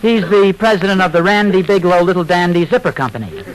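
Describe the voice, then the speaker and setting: An actor playing an earnest, mild-mannered, slightly folksy husband in a 1940s radio sitcom. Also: male voice, high-pitched, fast, a faint crowd, lightly acted. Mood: mild, explanatory. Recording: noisy vintage radio recording.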